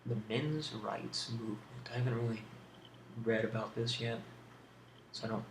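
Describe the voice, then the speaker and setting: A man hosting a casual ASMR question-and-answer video, speaking in a halting, rambling, hesitant way on an amateur home recording. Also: medium pitch, creaky, reverberant, background noise. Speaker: video host.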